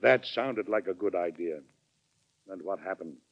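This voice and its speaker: An actor playing a firm, weary, principled American president in 1940s radio drama style, formal and deliberately persuasive. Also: male voice, low-pitched. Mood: grave, persuasive. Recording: muffled 1940s radio recording.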